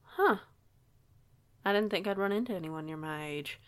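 A woman's voice: mid-range voice, lively intonation, moderate pace, smooth, no room sound, natural voice. A woman playing a flustered, curious young newcomer in an audio roleplay, with a hesitant, rambling way of talking. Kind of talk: audio roleplay acting, casual dialogue.

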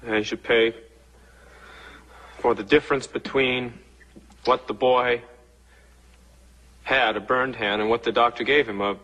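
Husky, hesitant law student. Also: male voice, mid-range voice, fairly even intonation, bright, forceful tone, dull audio. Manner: halting, careful answers. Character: hesitant young male law student under questioning.

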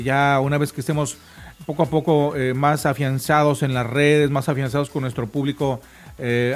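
A man with a Mexican accent hosting a casual, nostalgic radio chat. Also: fairly high pitch. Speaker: radio show host. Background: background music.

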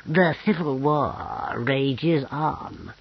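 Guy doing a creepy mool creature, real slow, gravelly and breathy, kinda dull sound. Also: medium pitch, very animated, voice pushed up, exaggerated voice. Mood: sly.

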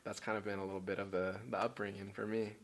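Young man from Peru, low-pitched, relaxed rambling chat guest. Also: quick pace, a crowd in the background. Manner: laid-back, rambling with many fillers and restarts. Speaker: podcast guest. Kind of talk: casual chat.

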